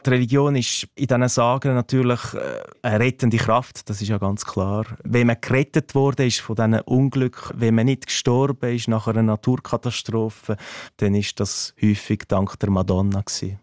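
A man's voice: mid-range voice, steady tone, normal speed, a little mellow, no room sound. Man, a touch, hesitant and thoughtful, dry studio sound. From Ticino, Switzerland. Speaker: teacher and interview guest. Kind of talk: radio interview, relaxed explaining.

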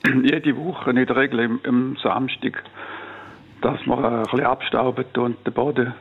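Male, Swiss German accent, middle-aged, gravelly, raspy, brief hesitant answers. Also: medium pitch, level tone, moderate pace, phone-quality sound. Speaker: quiz contestant caller.

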